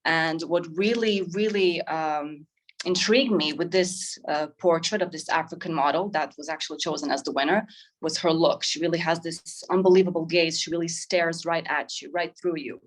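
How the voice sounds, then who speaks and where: Casting: woman, 30s, lower-pitched voice, reflective interview guest talking informally. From Croatia. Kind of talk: informal interview, describing own work.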